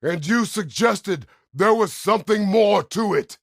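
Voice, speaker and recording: An actor playing a stern, weary, middle-aged Greek war god in a video game, speaking tersely and formally with a gravelly, husky voice. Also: male voice, rumbling low, lively intonation, unhurried, no echo, studio quality, exaggerated voice. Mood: furious, shouting. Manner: terse, grave, formal phrasing without contractions. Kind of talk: dramatic video game voice acting.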